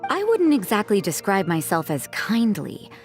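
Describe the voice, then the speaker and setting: A woman voicing an aloof, jaded young church sister in a game, with a cool, deadpan, detached delivery. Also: medium pitch, moderate pace, faint music behind. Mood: flat, resigned. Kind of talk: English game dub voice acting.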